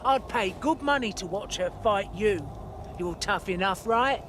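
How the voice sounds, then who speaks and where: A woman playing an excitable fight promoter in a game, talking someone into a bout. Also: mid-range voice, measured pace, noisy background, natural voice. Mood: excited hype. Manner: hyping, persuasive. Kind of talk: game cutscene dialogue.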